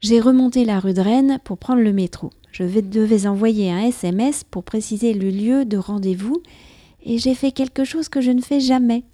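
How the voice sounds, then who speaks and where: A woman from France hosting her own podcast, reading a scripted account of her day in a careful, clear manner. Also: a little high, moderate pace, mellow, no echo, studio quality. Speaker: podcast host. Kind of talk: scripted personal narration. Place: near Paris.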